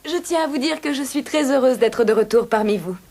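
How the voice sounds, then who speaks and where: A woman voicing a gentle, kind young princess who speaks softly and politely in a dubbed cartoon. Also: high-pitched, brisk. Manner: soft, polite and caring. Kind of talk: French cartoon dubbing. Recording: studio dub over music.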